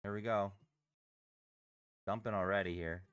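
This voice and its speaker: A man whose voice is going hoarse, chatting casually with viewers on a live trading stream. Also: on the low side, fairly even intonation, measured pace, a bit bright, no echo, clean studio sound. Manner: chatty, banters with chat while reading charts. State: hoarse, losing his voice.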